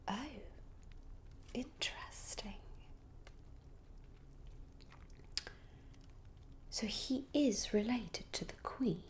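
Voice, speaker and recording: A genteel, gossipy Regency lady, acted by a woman for an ASMR roleplay. She speaks in a breathy whisper at a very unhurried pace. Her manner is polite, musing and a little hesitant, as if quietly sharing confidences. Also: on the high side. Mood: musing, curious. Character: genteel, gossipy young Regency lady planning a matchmaking guest list.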